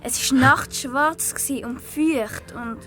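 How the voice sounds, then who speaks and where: Small fairy-tale girl, Swiss German, unfazed and cheery. High pitched, bright tone, children's audio drama. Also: slow, faint music behind, heavily acted. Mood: matter-of-fact, lively. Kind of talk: children's radio play acting.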